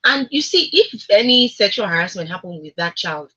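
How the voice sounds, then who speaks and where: A woman's voice: mid-range voice, sing-song, normal speed, bright, forceful tone, slightly rough, muffled recording. A Nigerian woman hosting a conversational radio discussion, speaking emphatically and repeating words for stress. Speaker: radio talk show host.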